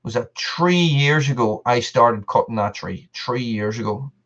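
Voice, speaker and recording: Man, excitable live-stream host, rambling, laughing, mid-pitched voice, muffled audio. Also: measured pace. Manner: rambling and excitable, laughs often, repeats words. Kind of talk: casual live-stream chat answering viewers.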